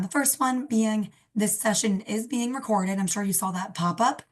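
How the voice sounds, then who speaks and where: A young woman with a cold and a slightly raspy voice, hosting a webinar and reading announcements on a video call. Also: mid-range voice. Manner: friendly, scripted announcements. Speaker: program coordinator, webinar host. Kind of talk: webinar introduction. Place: indoor room, video call.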